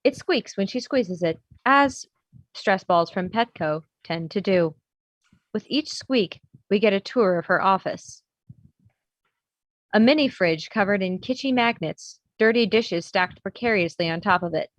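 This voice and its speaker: A woman's voice: medium pitch, lively intonation, a little slow, dry recording. A woman narrating stage directions in a scripted comedy screenplay reading.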